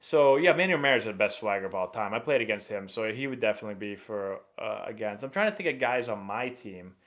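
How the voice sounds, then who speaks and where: A young man hosting a live baseball stream, chatting casually and rambling excitably as he answers viewer questions. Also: on the low side, quick pace. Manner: rambling and excitable, many fillers and restarts.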